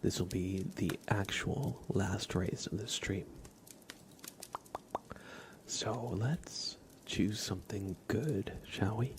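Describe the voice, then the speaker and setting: A man, hosting an ASMR livestream in a gentle, unhurried way and talking directly to his chat. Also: on the low side, a little hiss or hum. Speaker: livestream host. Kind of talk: ASMR livestream, whispered and soft-spoken.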